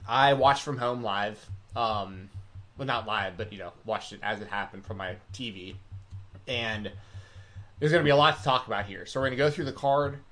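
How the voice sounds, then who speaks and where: A man hosting a live stream in casual chat, relaxed and rambling, with many fillers. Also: fairly low pitch, moderate pace. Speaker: podcast host.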